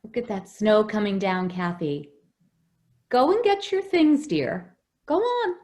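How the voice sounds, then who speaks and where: A woman playing a gentle, anxious housekeeper who protects a child, hesitant and soothing, in old-time radio drama style. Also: medium pitch, big pitch swings, takes it slowly. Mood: soothing, evasive.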